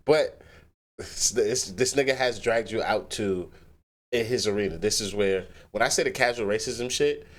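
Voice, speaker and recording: Male reaction host, low voice, casual and profane battle commentary. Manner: animated, swearing, pauses clip to comment.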